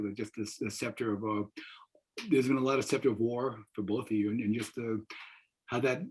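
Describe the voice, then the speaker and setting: Older man, husky voice, moderating tentatively. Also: medium pitch, rough recording. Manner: tentative, rambling, circling phrasing. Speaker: moderator, professor. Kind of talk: informal panel moderating. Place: small room at home, indoors. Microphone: computer mic on a video call.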